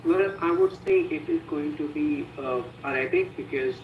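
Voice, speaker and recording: A man's voice: fairly high pitch, huge pitch swings, moderate pace, echoey room, far from the mic, highs cut off, slight background noise. A man whose first language is Hindi, answering practice speaking questions on a video call in loose, filler-heavy sentences. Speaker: IELTS speaking practice candidate. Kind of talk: online practice speaking session.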